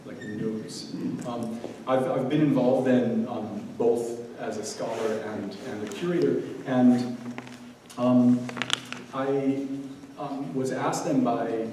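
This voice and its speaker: An American man, a scholar and curator, giving an unscripted speech at an event, halting with many ums and restarts. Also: medium pitch. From United States. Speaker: guest speaker, scholar and curator. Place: large event room. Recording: echoey room, mic far off, audible noise.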